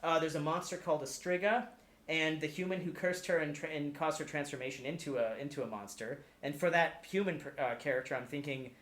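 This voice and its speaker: A man chatting casually on a podcast as a guest, explaining things in a halting, rambling way with repeated words. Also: on the high side, a bit bright. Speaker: podcast guest pitching a film adaptation. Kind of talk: casual chat. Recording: echoey room.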